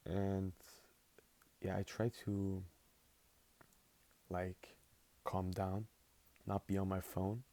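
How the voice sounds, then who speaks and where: A man's voice: low voice, dark tone. An eighteen-year-old man for whom English is not his first language, rambling casually through a spoken journal with lots of fillers. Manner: rambling, filler-heavy, laid-back. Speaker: vlogger.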